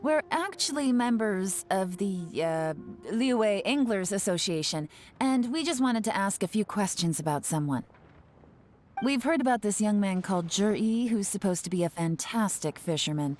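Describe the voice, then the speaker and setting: A woman's voice: medium pitch, expressive, moderate pace, darkish tone, lightly acted. A woman voicing a shrewd spy who speaks in a cool, teasing and evasive way. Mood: polite. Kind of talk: video game voice acting. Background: game music.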